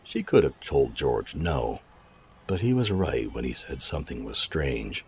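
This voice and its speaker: A man's voice: rumbling low, sing-song, a little slow, darkish tone, a little hiss or hum. A man narrating an audio drama in a scripted storytelling style, reading both story and credits. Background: music.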